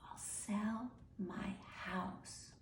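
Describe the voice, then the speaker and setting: A woman's voice: mid-range voice, steady tone, drawn-out words, reverberant, whispered, far from the mic. A woman voicing an eager, status-hungry little wooden man in a storybook, earnest and self-satisfied. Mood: determined.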